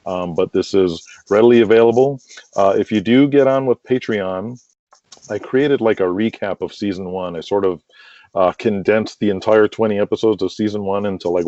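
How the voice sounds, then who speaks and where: A man's voice: sits low, warm tone. A man chatting casually as a podcast guest, agreeable and eager to promote his show. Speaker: podcast guest, audio drama creator. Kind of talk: casual interview chat. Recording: dull lo-fi roomy call audio.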